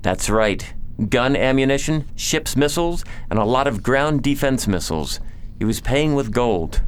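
A man acting a sober informant in a science-fiction radio play. His voice is mid-pitched and matter-of-fact, as if giving a briefing. There is faint noise behind him. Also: expressive, moderate pace, close to an everyday voice. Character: male informant giving sober, factual intelligence reports. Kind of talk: scripted science-fiction audio drama acting.

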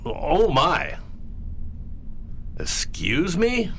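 An actor playing a folksy, soothing, patronizing family doctor in a radio play. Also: male voice, on the high side, wildly swooping pitch, drawn-out words, creaky, fairly bright tone, a little hiss or hum, heavily acted. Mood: puzzled. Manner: coaxing, patronizing, says "we" to patient.

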